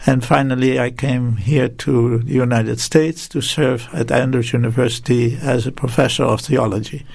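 Gravelly man, dry sound. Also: mid-range voice, steady tone, normal speed, a little mellow, no echo. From Netherlands. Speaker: guest theology professor. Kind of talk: interview and prayer.

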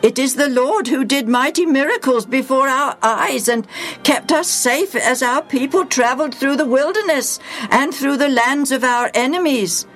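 A woman's voice: high-pitched, moderate pace, fairly bright tone, faint music behind, voice pushed up. A woman voicing a crowd of devoted townspeople who make eager, pleading promises in a storybook reading. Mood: earnest.

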